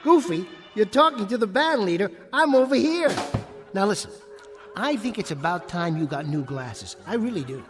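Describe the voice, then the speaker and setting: An actor playing an uneasy nightclub ventriloquist in a radio play. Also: male voice, high-pitched, lively intonation, normal speed, music in the background. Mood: showy. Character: uneasy, troubled nightclub ventriloquist doing straight-man patter.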